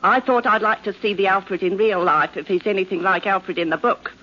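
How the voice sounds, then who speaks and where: An actress playing a teasing, nagging London housewife with chatty, colloquial talk, in 1940s radio drama style. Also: female voice, mid-range voice, fairly even intonation, fairly quick, close to an everyday voice. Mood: playful. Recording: dull, noisy 1940s radio recording.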